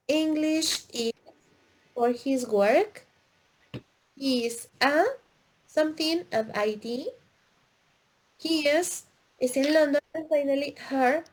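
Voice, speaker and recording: A woman teaching an English listening lesson, speaking slowly and repeating answers with emphasis. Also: high-pitched, poor audio. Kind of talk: classroom lesson.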